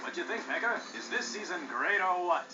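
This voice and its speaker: A man's voice: sits high, lively intonation. A man playing a bombastic, egotistical, bloodthirsty racing TV host in a video game, with showy, hyped announcing. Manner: showy, hyped, drawn-out delivery. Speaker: sports show host. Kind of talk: over-the-top sports broadcast announcing. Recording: dull game audio, loud music behind.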